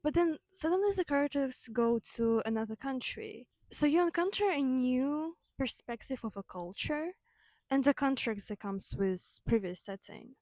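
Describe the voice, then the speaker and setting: A woman's voice: sits high, expressive, moderate pace, a little mellow, no echo. A young woman whose first language is Ukrainian, talking in a reflective, conversational way with fillers and self-corrections. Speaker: vlogger. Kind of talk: reflective personal monologue.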